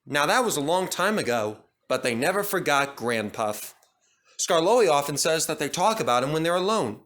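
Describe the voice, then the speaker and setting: Man acting a bright, knowing tank engine. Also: fairly high pitch, sing-song, normal speed, voice pushed up. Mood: matter-of-fact. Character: knowing, friendly male tank engine telling a tale.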